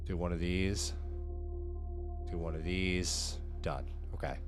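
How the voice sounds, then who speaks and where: A man with a hoarse, fading voice chatting casually on a livestream, upbeat and talking straight to his viewers. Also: low voice, steady tone, slow, over music. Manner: upbeat, hype-driven, addresses viewers directly. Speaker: livestreaming app developer.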